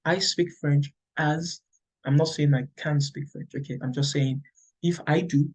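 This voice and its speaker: A man's voice: a little high, fairly even intonation, unhurried, a little mellow, breathy edge. A middle-aged Nigerian man, a native Yoruba speaker, explains exams to fellow teachers, checking in with "okay" and often restarting. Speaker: English teacher. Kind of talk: explaining to teachers, conversational lecture.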